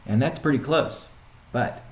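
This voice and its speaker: A man speaking as a chief executive on a conference call, answering questions in a halting, deliberate way with pauses and restatements, in a roomy recording. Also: medium pitch. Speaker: company CEO hosting a weekly conference call. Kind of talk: question-and-answer business briefing. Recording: roomy, echoing sound.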